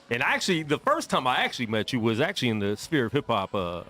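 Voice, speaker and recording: A man's voice: mid-range voice, huge pitch swings, a little fast, sharp tone, soft background music. A man in his forties hosting a radio show, chatting casually in the studio and laughing often. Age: 40s. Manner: laid-back, laughs often.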